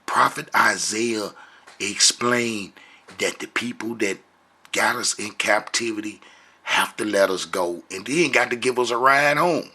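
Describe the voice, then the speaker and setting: Guy preaching off the cuff, sharp forceful voice, keeps repeating stuff for emphasis. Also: fairly low pitch, measured pace, breathy edge. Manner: emphatic, repeats phrases for stress. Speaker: online religious teacher. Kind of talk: impromptu commentary and preaching.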